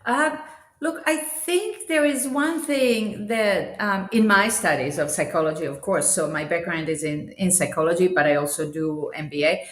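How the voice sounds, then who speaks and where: A woman's voice: on the low side, normal speed. Reflective woman on an echoey call. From Croatia. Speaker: guest, change specialist. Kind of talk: interview over video call.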